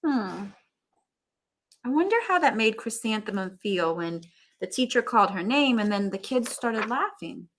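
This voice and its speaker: A woman teacher reading a storybook aloud to young children, with expressive emphasis and chatty asides to them. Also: medium pitch, moderate pace.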